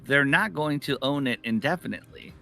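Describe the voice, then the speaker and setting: A man's voice: medium pitch, animated, fairly quick, gravelly, sharp tone, soft background music. A man co-commentating live esports, emphatic and given to repeating phrases for effect.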